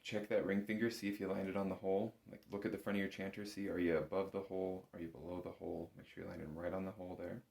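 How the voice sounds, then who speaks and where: A man's voice: sits low, flat delivery, quick pace, vocal fry, hardly any pauses, background noise. A man giving a step-by-step music lesson as an instructor, talking in an informal, patient, encouraging way. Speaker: bagpipe instructor. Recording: roomy, echoing room sound.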